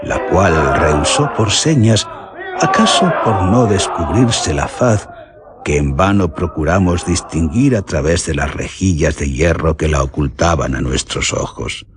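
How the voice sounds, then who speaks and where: A man's voice: low voice, expressive, a little slow, music in the background. An actor playing a grave, ominous bandit who tells his story theatrically in a radio horror drama, with a Castilian Spanish accent. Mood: dramatic, ominous. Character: bandit recounting his gang's dark deeds, grave and ominous.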